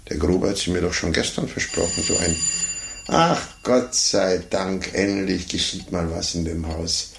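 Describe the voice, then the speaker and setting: An actor playing a fussy, condescending older gentleman in a 1950s radio play, muttering to himself and talking down patronizingly. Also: low-pitched, normal speed, vocal fry. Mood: impatient, grumbling. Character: condescending, fussy, self-important older gentleman chess amateur. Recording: roomy, noisy 1950s radio recording.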